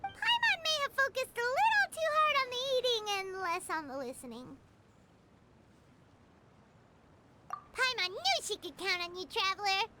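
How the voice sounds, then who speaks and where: A woman voicing a tiny, greedy, childlike fairy companion in a game cutscene, squeaky, cheeky and dramatic. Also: very animated, fairly quick, noisy background. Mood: sheepish, hopeful. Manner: dramatic, speaks of herself in third person. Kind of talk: video game cutscene acting. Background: loud music.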